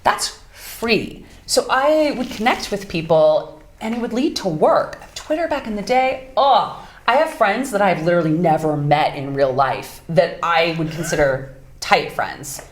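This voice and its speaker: Low-voiced voice actress in a roomy space. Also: female voice. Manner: animated, bright and upbeat, quick asides. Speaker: voice actress guest. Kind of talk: casual interview chat. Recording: roomy echo.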